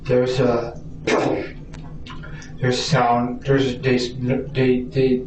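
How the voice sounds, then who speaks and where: Male American interview suspect, hesitant and repetitive, flat delivery, picked up by a distant mic in a roomy space. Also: medium pitch, drawn-out words. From Arizona, United States. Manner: hesitant, stammering, repeating words. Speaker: suspect being questioned by police. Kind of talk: police interview answers. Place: police interview room. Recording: distant roomy mic, dull audio, faint noise.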